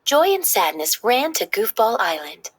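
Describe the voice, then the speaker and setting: A woman reading a children's story aloud in expressive, scripted narration. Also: a little high, measured pace, husky, dry recording.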